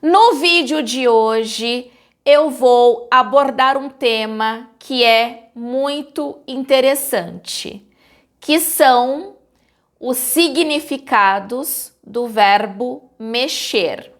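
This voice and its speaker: Woman, Brazilian accent, teacher, very slow pace, slightly high voice. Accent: Brazilian Portuguese. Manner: slow, clearly articulated teaching delivery. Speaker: Portuguese teacher, channel host. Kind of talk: scripted lesson narration.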